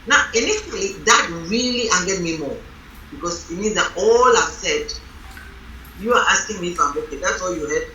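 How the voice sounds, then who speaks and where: Loud, strong-voiced woman in a roomy hall. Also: on the low side, expressive, normal speed, slight background noise. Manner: emphatic, storytelling. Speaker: reverend leading a panel on marriage. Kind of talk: panel discussion. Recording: roomy hall from a distant mic.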